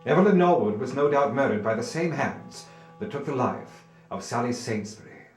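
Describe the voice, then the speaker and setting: An actor playing a composed, stern detective in an audio drama, speaking formally and precisely. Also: male voice, medium pitch, expressive, moderate pace, echoey room, faint music behind, natural voice. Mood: stern, probing.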